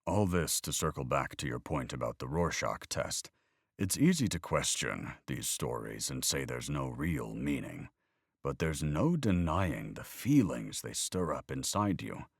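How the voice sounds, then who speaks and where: A man reading an audiobook, voicing a weary older man who talks in a measured, reflective way. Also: very deep voice, expressive, gravelly, no room sound, studio quality, exaggerated voice. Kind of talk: audiobook character voice.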